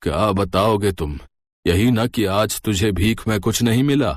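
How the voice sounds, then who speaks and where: A man's voice: lowish voice, unhurried, no room sound, studio quality. A man voicing a skeptical, thrifty beggar father in a cartoon story.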